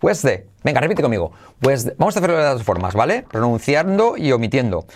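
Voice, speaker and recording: A man leads a lively pronunciation lesson. He says phrases slowly, repeats them again and again, and throws in playful asides between drills. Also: mid-range voice, a little hiss or hum. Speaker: English teacher.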